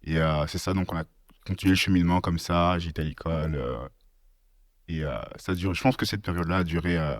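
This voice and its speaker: Very low-voiced young man, hesitant. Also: brisk, no echo, studio quality. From Congo, raised in Belgium. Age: 20s. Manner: hesitant, many "euh" fillers, laughs shyly. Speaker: podcast guest. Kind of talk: personal testimony in casual chat.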